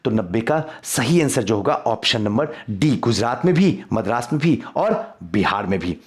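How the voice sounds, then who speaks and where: A man's voice: mid-range voice, sing-song, normal speed. A man teaching an online quiz lesson as an exam coach, emphatic and repeating key points. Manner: emphatic, repeats questions and answers.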